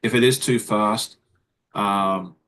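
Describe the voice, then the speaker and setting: Fast-talking Australian man, fairly low voice. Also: steady tone, fairly bright tone. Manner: fast-talking, chatty, many fillers and restarts. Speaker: guest content creator. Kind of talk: casual livestream chat.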